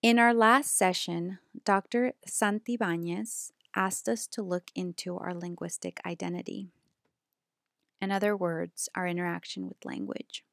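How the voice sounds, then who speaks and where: A young woman from the United States, a graduate student reading a prepared slideshow presentation in a steady, earnest way. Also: on the low side, measured pace, gravelly, no room sound, studio quality.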